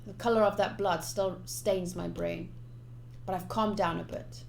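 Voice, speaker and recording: A woman's voice: fairly low pitch, steady tone, measured pace, creaky, a little hiss or hum. A woman streamer reading visual novel text aloud, with casual commentary of her own. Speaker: game streamer. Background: game music.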